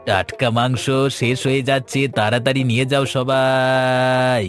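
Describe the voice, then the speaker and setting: Guy doing sly cartoon meat seller, middle pitch, coaxing market patter. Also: level tone, a little slow, faint music behind, natural voice. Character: crafty village meat seller hawking at market.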